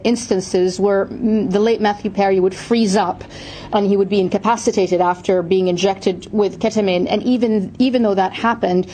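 A woman correspondent delivers a news report. Her voice is dark and mellow, and she speaks deliberately with occasional restarts. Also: medium pitch, low-quality recording.

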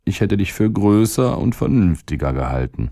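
A man voicing a talking stomach in a storybook reading, calm, patient and unhurried. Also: fairly low pitch, dry recording, studio quality.

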